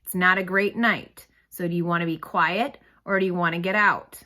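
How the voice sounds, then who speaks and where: Woman reading as a brusque, bright-voiced male bus driver. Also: on the low side, steady tone, normal speed. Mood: grumbling. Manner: brusque, grumbling, wry. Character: gruff, grudgingly kind male bus driver. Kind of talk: storybook read-aloud character.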